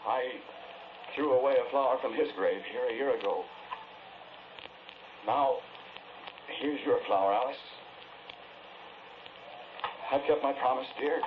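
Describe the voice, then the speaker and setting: An actor playing a haunted, guilt-ridden man, grave and quietly intense, in 1940s radio drama style. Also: medium pitch, close to an everyday voice. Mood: grave, resigned. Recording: noisy, muffled 1940s radio recording.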